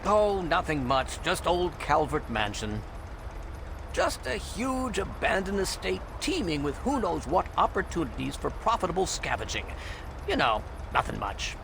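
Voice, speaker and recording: A roguish ferry captain from a video game, pitched a little high for a male voice and swinging widely as he talks. The voice crackles with gravel, and the delivery is folksy and sly, like a practiced salesman. Outdoor ambient noise sits clearly behind him. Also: exaggerated voice. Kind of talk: video game character dialogue.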